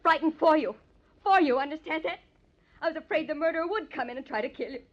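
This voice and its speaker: A woman's voice: high voice, fast talker, natural voice. An actress playing a disillusioned young woman reporter betrayed in love, earnest and dramatic, in old-time radio drama style. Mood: wounded, reproachful. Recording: dull, lo-fi old radio recording.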